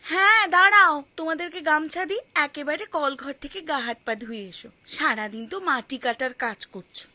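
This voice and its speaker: Woman acting a high-voiced caring village housewife. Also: a little fast. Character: caring Bengali village housewife looking after her husband's family. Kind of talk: cartoon dialogue. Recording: loud music over the voice. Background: loud plucked string music.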